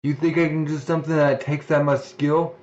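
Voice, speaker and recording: A man voicing a brash, quarrelsome male convict with a huge appetite, loud and threatening. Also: a little high, level tone, fairly quick, natural voice. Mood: angry. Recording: dull lo-fi recording.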